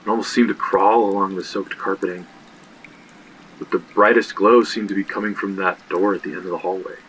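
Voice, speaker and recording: A man's voice: deep voice, steady tone, brisk, a bit bright, a little hiss or hum, low-quality recording. An actor playing a haunted young man, narrating an eerie horror audio drama in a brooding, confessional way. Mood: entranced, hushed.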